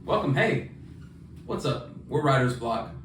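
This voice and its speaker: Male podcast co-host, mid-pitched, informal and self-mocking, recorded in a roomy space away from the mic. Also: animated, a little slow, a bit bright. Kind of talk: casual podcast chat. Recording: roomy, distant mic, faint noise.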